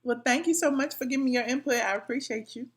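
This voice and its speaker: A woman's voice: sits high, fast talker. A woman hosting a call-in live stream, asking callers questions and thanking them. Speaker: livestream host taking calls. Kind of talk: call-in talk show.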